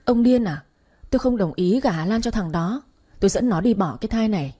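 Một phụ nữ đóng bà mẹ trung niên giận dữ. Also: female voice, animated, normal speed, mellow, breathy edge. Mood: shrieking. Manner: shrill, harsh scolding. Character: furious middle-aged mother scolding daughter.

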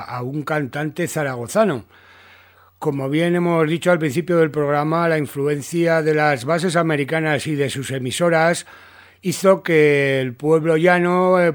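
A man with a Spain accent presenting a music radio show in a semi-scripted way. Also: on the high side.

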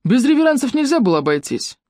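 A man's voice: very high voice, very animated, fast, darkish tone, dry recording, studio quality, pitched above the performer's own voice. A reader voicing a blunt, burly man who grumbles impatiently. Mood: grumbling. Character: gruff, blunt burly husband.

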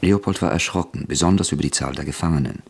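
Very deep gravelly male narrator. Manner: measured, sober storytelling. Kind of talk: scripted radio drama narration.

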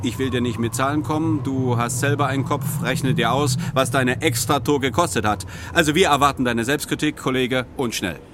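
An actor in a German radio play playing a stern brigade leader who speaks in an official, insistent way. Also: male voice, mid-range voice, fairly quick, background noise, lightly acted. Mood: official, reproachful. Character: stern brigade leader demanding self-criticism at meeting.

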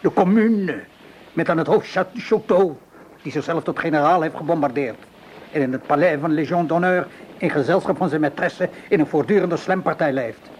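A cynical, middle-aged tavern keeper played by a male actor in a radio play. His voice sits a little high for a man, and he talks with weary, measured sarcasm. The old recording carries faint noise. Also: close to an everyday voice, rough recording. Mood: scornful, sardonic. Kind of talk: Dutch radio play acting.

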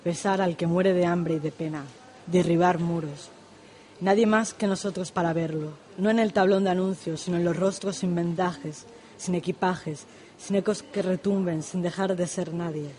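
A woman's voice: fairly low pitch, fairly even intonation, moderate pace, dark tone. A woman poet speaking as a guest on a radio show, reciting verse and giving short replies. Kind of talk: poetry reading and brief interview replies.

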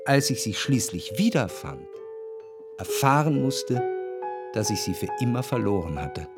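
An introspective literary narrator over music. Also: male voice, medium pitch. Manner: measured, introspective, deliberate phrasing. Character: reflective, grieving male narrator remembering his grandmother. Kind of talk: literary radio drama narration.

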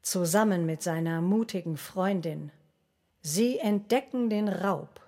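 A woman's voice: fairly low pitch, a little slow, dark tone. A woman narrating a scripted film story in short, dramatic sentences. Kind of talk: scripted retelling of a silent film plot.

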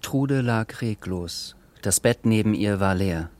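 A man's voice: on the low side, slow, a little mellow. A man narrating a radio play in a scripted, literary style. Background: music.

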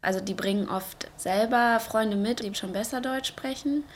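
A woman's voice: on the high side, moderate pace. A woman in her twenties, a law student volunteer, talking casually and chattily in an interview. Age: 20s. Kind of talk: interview statement.

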